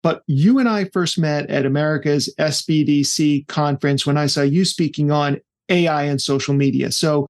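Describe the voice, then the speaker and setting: A man hosts a podcast and puts questions to his guest in a relaxed, conversational manner. His voice sits a little above the usual male pitch. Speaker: podcast host.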